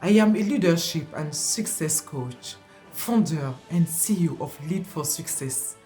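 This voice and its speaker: A woman's voice: low-pitched, expressive, measured pace, warm tone, soft background music. A woman from France, a leadership coach, introducing herself with formal, careful phrasing. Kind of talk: recorded self-introduction.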